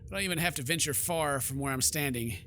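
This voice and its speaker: A man's voice: a little high, fast, sharp tone, a little hiss or hum. Jokey man narrating a horror game. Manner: jokey asides, playful slang. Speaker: streamer playing a horror visual novel. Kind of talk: let's play narration with joking commentary.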